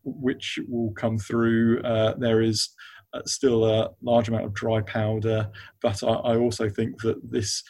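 A man with a mellow, flat-toned voice speaks as an expert guest on a finance podcast. He hesitates and repeats words while thinking through his answers, in a dry, close recording. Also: on the low side, measured pace, no echo. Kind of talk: unscripted expert interview answers.

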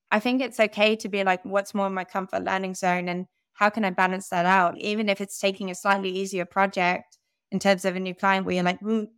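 A young woman from the Netherlands, a podcast guest speaking candidly and laughing at herself in a relaxed interview. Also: mid-range voice, clear, resonant tone, no echo, studio quality. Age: young adult. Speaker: podcast guest, growth advisor. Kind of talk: conversational interview.

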